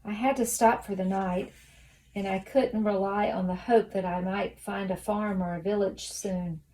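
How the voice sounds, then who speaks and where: A woman reading a children's novel aloud as expressive storybook narration. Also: mid-range voice, moderate pace, low-quality recording.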